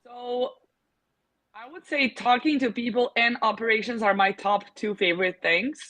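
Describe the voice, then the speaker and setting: A woman's voice: fairly high pitch, normal speed, fairly bright tone. A woman from Serbia, a guest in a casual interview, talking with many fillers as she thinks aloud. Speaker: agency founder, guest.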